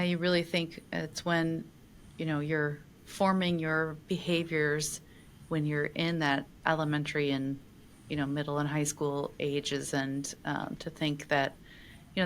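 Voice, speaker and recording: A woman hosting a podcast interview who asks measured, thoughtful questions with some fillers. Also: low-pitched, flat delivery, a bit bright, a little hiss or hum. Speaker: podcast host, magazine editor.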